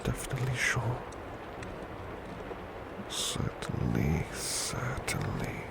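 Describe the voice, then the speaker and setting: Man playing a devoted servant whispering in slow creaky tones. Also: medium pitch, very animated, very noisy, full character voice. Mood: sleepy, tender. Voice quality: breathy whisper. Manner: slow, tender, soothing, repeating phrases softly. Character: devoted, gentle male servant soothing his beloved to sleep. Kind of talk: whispered ASMR roleplay.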